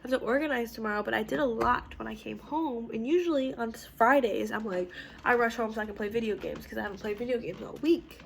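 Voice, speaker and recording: A young woman in her twenties talking casually and rambling at home, slightly hoarse from a cold. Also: a little high, quick pace. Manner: rambling, chatty, self-correcting, laughs often. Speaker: vlogger. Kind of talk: casual chat. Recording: clear background noise.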